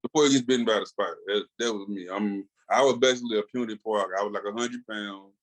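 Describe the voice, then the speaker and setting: A man's voice: on the low side, fast talker, low-quality recording. A man with a Southern US accent chatting casually as a comics podcast co-host, telling stories colloquially and acting out quoted dialogue. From Tennessee, rural southern United States. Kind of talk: casual animated chat.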